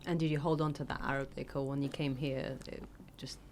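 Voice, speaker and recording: A woman's voice: a bass voice, level tone, a little fast, darkish tone, a little hiss or hum. A woman co-hosting a podcast who welcomes her guest in a friendly way and asks follow-up questions. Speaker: podcast co-host. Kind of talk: casual interview chat.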